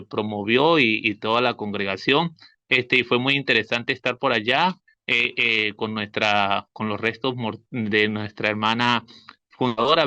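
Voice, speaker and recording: A man's voice: mid-range voice, bright, forceful tone, no echo, muffled recording. A man from Venezuela with a Venezuelan accent, a teacher commenting spontaneously, hesitant and repeating himself as he searches for words. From Táchira, Venezuela. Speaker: school religion teacher.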